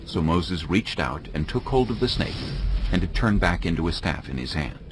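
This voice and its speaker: Very deep, expressive male scripture narrator. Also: moderate pace, background noise.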